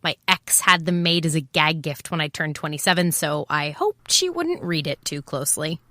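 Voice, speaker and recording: An actress playing a wry, impulsive private eye, talking in a sardonic, confiding way in an audio drama. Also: female voice, low-pitched, expressive, moderate pace, pushed and bright. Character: wry, impulsive female private eye, over twenty-seven. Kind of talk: first-person noir audio drama narration and dialogue.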